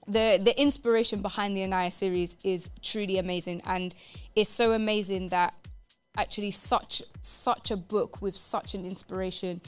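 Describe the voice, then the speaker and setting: A woman's voice: mid-range voice, clean tone. A woman presenting a live radio show in an upbeat, emphatic way, repeating phrases for stress.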